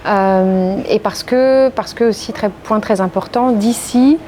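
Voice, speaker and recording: Frenchwoman in a measured interview, noisy background. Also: mid-range voice, mellow. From France. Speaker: interviewee, corporate legal and compliance executive. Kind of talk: interview answers.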